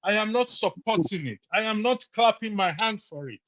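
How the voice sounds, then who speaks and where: A man's voice: sits high, animated, normal speed, a bit bright, dry recording. A man moderating a heated online debate, often cutting in to take back the floor. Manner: keeps interjecting to regain the floor. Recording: dry, dull lo-fi call audio.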